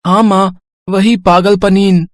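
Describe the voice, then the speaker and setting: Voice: medium pitch, little pitch movement, takes it slowly, dry recording, close to an everyday voice. A performer voicing an eager four-year-old village boy in a cartoon story, in a childlike, pleading way. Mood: innocent, wanting.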